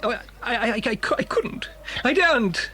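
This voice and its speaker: An actor playing a timid man afraid of water, stammering in a husky, strained voice in a children's audio drama. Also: very high-pitched, animated, moderate pace, pushed and bright, full character voice. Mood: terrified.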